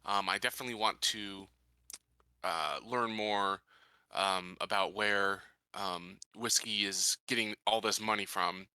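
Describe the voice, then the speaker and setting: A man playing a calculating colony leader in a tabletop game, speaking in a deliberate, formal, halting way. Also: on the low side, fairly even intonation, pushed and bright, clear, resonant tone, no echo. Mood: approving. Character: measured, calculating male colony leader giving orders.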